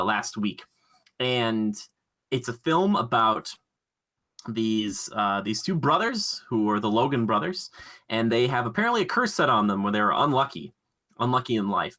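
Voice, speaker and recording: A man's voice: lowish voice, sharp tone. A man co-hosting a podcast, talking casually and conversationally with enthusiasm about films. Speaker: podcast co-host.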